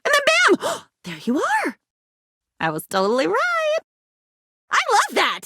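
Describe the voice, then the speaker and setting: A theatrical living diary, high in pitch and wildly animated. She is an aristocratic, mercurial game character who veers between dignified and excitable delivery. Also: takes it slowly, no room sound, studio quality, full character voice. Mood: giddy excitement. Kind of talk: video game character acting.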